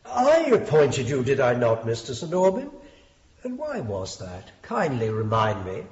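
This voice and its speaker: Pompous, swooping sardonic judge. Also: male voice, mid-range voice, huge pitch swings, muffled recording, a crowd in the background. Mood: sardonic, condescending. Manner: drawn-out sarcasm, condescending. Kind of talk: radio drama acting.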